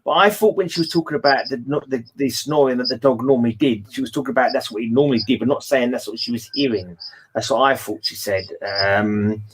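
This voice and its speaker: A man's voice: medium pitch, quick pace, husky, poor audio. A man in a casual book discussion, thinking aloud and correcting himself as he goes. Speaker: podcast host.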